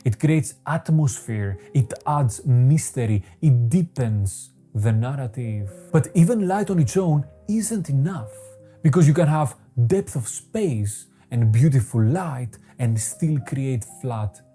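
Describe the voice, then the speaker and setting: Dark-voiced man. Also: medium pitch, animated. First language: Greek. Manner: measured, poetic, deliberate phrasing. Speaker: photography channel host. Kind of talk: scripted voice-over narration. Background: faint guitar music.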